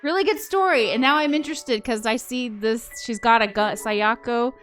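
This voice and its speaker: A woman's voice: fairly high pitch, moderate pace, a bit bright. A woman hosting a game playthrough, chatting casually and informally. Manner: informal, says y'all. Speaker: host of a game playthrough channel. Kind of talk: casual chat.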